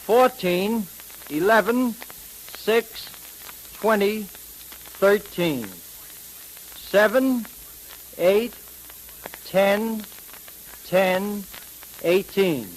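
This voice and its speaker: An American actor playing an eager, boyish police sidekick in slangy 1930s radio style. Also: male voice, sits high, very slow, pauses often, rough recording. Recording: hissy 1930s radio recording.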